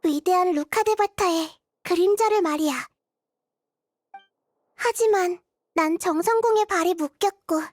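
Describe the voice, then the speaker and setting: A woman voicing a small, gentle child goddess in a game, soft-spoken, thoughtful and wistful, with measured phrasing. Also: very high voice, dry recording. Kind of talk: Korean game voice acting, introspective monologue.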